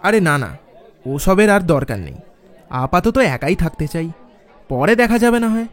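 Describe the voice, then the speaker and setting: A man's voice: a little high, sing-song, moderate pace, some chatter behind. An actor playing a skeptical young office man in a dramatised horror story. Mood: casual, evasive. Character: young office man recounting his eerie night, dismissive, skeptical. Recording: music under the voice. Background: background music.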